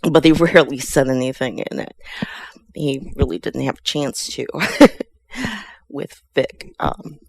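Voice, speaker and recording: A middle-aged woman from Chicago with an American accent, giving a halting, emotional spoken tribute to a friend. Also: extremely low pitch, animated, creaky, slightly rough, no room sound. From Chicago, USA. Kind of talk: heartfelt spoken tribute, personal reminiscence.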